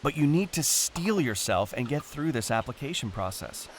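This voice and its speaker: A man's voice: medium pitch, very animated, normal speed, natural voice. Father of a teen played by a man: strict yet loving, earnest, mid-range, audio drama, faint hiss. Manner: earnest, lecturing. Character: strict but loving, pressuring father of a teenager.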